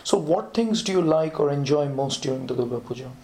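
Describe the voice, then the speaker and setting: Woman interviewer, low mellow voice, encouraging, short prompts. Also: expressive, fairly quick. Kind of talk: casual interview with a child.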